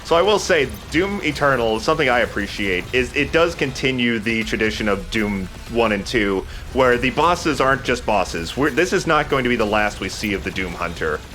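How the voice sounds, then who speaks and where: A man's voice: mid-range voice, lively intonation, normal speed, hardly any pauses, bright, forceful tone, soft background music. A man hosting a let's play, chatting casually and agreeably about the game as he goes. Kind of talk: casual gameplay commentary.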